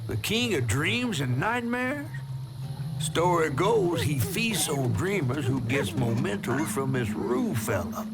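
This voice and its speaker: A folksy man of the swamp, fond and wary, with a Southern drawl. His pitch swings freely as he talks, and loud ambient noise sits behind him. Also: big pitch swings, slow pace. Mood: wary, urgent. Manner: folksy, drawling colloquial talk. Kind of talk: video game voice acting.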